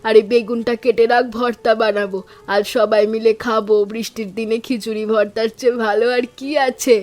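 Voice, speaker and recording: High-voiced caring village aunt acted by a woman. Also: little pitch movement, brisk, a little mellow, natural voice. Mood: gently advising. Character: caring, worried Bengali village aunt, affectionate elder woman. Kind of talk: animated story dialogue.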